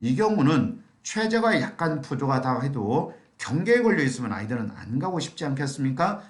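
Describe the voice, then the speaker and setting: Middle-aged male consultant, tired husky throat, animated intonation, explaining to a seminar in an echoey room. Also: medium pitch, a little fast. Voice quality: slightly hoarse, thick voice. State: strained throat from long talking. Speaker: admissions consultant giving a lecture.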